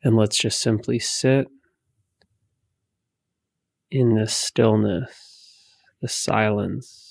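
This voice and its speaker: A man leading a guided meditation in a soothing, hushed manner, giving gentle instructions with long pauses. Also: on the low side, fairly even intonation, vocal fry, dry recording.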